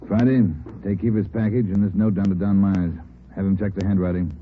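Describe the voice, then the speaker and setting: A man playing a police chief who gives brisk orders in a 1950s radio drama. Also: deep voice, flat delivery, natural voice. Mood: commanding. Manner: commanding and brisk. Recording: tinny old radio broadcast with hiss.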